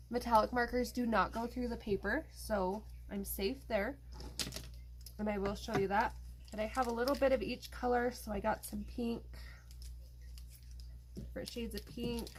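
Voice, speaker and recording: A woman hosting an art tutorial, explaining her steps in a relaxed, chatty way while she works. She is losing her voice. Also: fairly high pitch, a little slow, pauses often, noisy background. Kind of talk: casual step-by-step craft demonstration.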